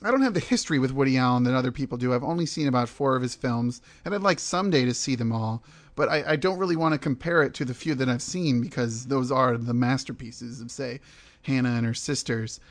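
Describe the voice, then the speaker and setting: A man's voice: medium pitch, fast. A man hosting a film review podcast, moving between prepared remarks and conversational opinion. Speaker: podcast host. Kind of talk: film review, scripted intro and loose discussion.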